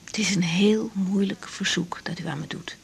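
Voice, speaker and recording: A woman's voice: medium pitch, moderate pace, vocal fry, close to an everyday voice, rough recording. Woman acting young lady doctor in a radio drama, careful n hesitant, serious, bit of noise behind. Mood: troubled, hesitant. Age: young adult.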